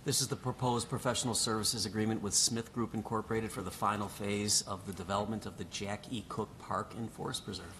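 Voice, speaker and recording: Male city manager, measured and plain, lowish voice, faint hum in the chamber. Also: steady tone, fairly bright tone. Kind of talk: reading agenda items. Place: council chamber.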